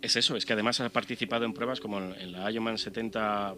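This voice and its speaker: A man with an accent from Spain speaking as a radio panelist in a halting, repetitive, conversational way. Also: lowish voice, steady tone, pushed and bright. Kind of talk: casual radio discussion. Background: music.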